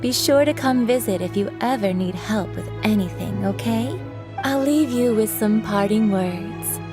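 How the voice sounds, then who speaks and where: Woman acting a slow-speaking, friendly shopkeeper. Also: medium pitch, natural voice. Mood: friendly, advising. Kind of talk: video game voice acting. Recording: music behind the voice.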